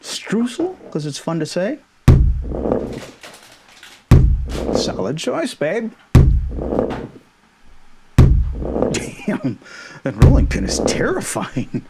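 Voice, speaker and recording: A man's voice: high voice, huge pitch swings, a little slow, over loud music, exaggerated voice. A man acting a playful, goofy, affectionate shrunken boyfriend in an ASMR roleplay, casual and jokey with theatrical exclamations. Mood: playful, wary.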